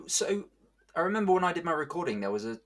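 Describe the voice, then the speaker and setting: A man's voice: on the high side, sing-song, fast talker, fairly bright tone. A man asking casual interview questions, drawing on his own experience as a voice actor.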